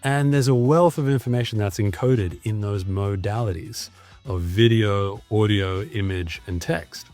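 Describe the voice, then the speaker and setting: Australian man, low voice, fluent explainer, video call podcast guest, faint music behind. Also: unhurried, darkish tone. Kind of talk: casual video podcast conversation.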